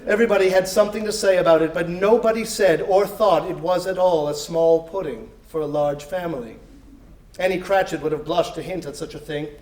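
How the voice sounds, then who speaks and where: A man giving an expressive, theatrical reading of a story, with deliberate phrasing. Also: high-pitched, distant mic. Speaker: dramatic reader. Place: indoor live reading before an audience. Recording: roomy live recital sound.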